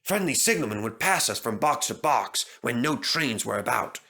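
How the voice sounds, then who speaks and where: A man's voice: mid-range voice, lively intonation, moderate pace, creaky, sharp tone, breathy edge, full character voice. A man voicing a weary, grateful runaway steam engine in a storybook, talking earnestly.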